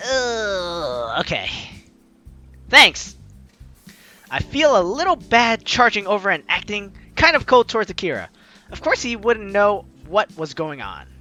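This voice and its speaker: A man reads a story game aloud and jokes between lines. His voice is high and very lively in pitch. Faint music plays under him. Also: unhurried. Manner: animated, joking asides. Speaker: game player reading dialogue aloud. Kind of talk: let's play narration.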